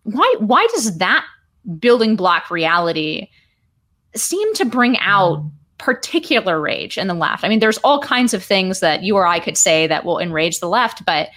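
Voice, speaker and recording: A woman interviewing a guest, asking long, halting questions full of pauses and "um"s. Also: mid-range voice, big pitch swings, a bit bright. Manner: halting, with many "um"s and restarts, building long questions. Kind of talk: political interview.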